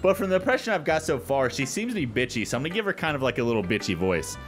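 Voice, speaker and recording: A man hosts a gaming video, mixing casual commentary with dialogue read aloud. His medium-pitched voice is very animated, and game music plays behind him. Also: racing. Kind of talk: casual let's-play commentary and dialogue reading.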